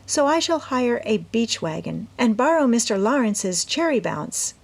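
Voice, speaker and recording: A genteel teenage girl, played by a woman reader, speaks in a lifted pitch with an airy, important air. She is self-assured and sweetly persuasive, a young lady of elegant manners from a classic storybook. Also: big pitch swings, unhurried, gravelly, heavily acted. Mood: insistent, proper.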